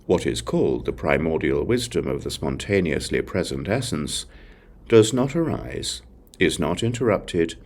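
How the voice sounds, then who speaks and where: A man reading a Buddhist scripture as an audiobook, reciting in measured, solemn short phrases. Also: sits low, sing-song, darkish tone. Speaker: audiobook reader.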